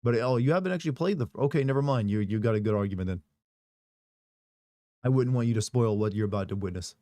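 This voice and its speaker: Hoarse man chatting on a stream. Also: medium pitch, fast, mellow, no echo. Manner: rambling, casual, joking asides. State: hoarse, recovering voice. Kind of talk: live stream chat, reading viewer messages.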